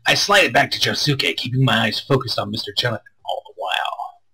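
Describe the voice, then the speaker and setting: A man with a husky, strained voice reading a visual novel aloud expressively, with crude joking asides and stumbles over lines. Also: mid-range voice, brisk, bright, forceful tone. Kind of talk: expressive read-aloud with casual banter.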